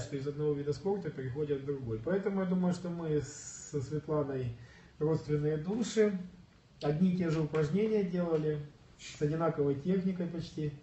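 A man's voice: fairly high pitch, dark tone. A bodybuilding coach, slightly ill and coughing now and then, telling his story in casual livestream chat. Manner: earnest, storytelling, clears throat and coughs. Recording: echoey room, far from mic, dull.

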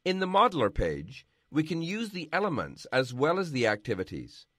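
A man giving scripted, measured narration for a software tutorial. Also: on the high side, huge pitch swings, no room sound, clean studio sound. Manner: measured, instructional.

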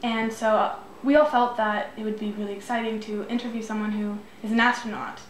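A woman's voice: on the high side, fairly even intonation, a little fast, slight background noise. A teenage girl student setting up and asking interview questions, hesitant, with many ums. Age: teens. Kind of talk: polite phone call and interview questions. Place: indoor room. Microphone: camera across the room. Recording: echoey room, faint music.

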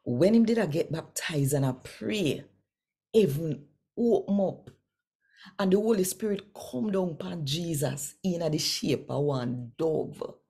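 An older woman with a Jamaican accent reading scripture in Patois in a measured, deliberate way. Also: on the low side, lively intonation, warm tone, slightly rough. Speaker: Bible reader and presenter. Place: small home room, indoors.

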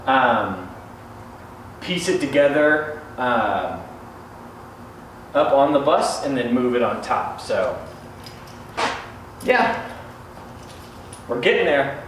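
A young man talking casually and a bit haltingly to camera, explaining a DIY job as a vlogger. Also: mid-range voice, animated, background noise. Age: young adult. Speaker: DIY bus conversion vlogger.

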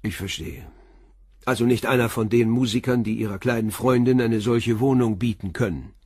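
An actor playing a calm, persistent male police inspector who questions tersely and methodically in a radio crime drama. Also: mid-range voice, moderate pace, slightly rough, natural voice. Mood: measured, inquisitive.

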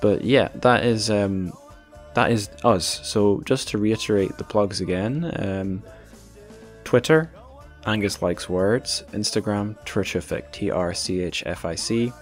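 Low-voiced man hosting a podcast. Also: slow, soft background music. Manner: informal, self-deprecating, rambling. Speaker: podcast host. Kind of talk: casual podcast chat.

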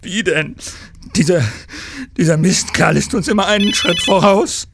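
A man's voice: sits high, a little slow, a bit bright, dry recording, heavily acted. An actor playing an anguished senior police detective and father in an audio drama, agitated and emotional. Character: anguished male senior detective, desperate, vengeful family father.

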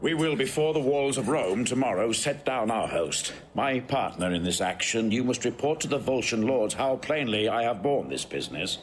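An actor playing a proud, vengeful Roman general torn by family love, declaiming gravely and with passion in a classical Shakespearean audio drama. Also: male voice, mid-range voice, lively intonation, normal speed, hardly any pauses, soft background music, natural voice. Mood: grave, resolute. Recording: faint background noise.

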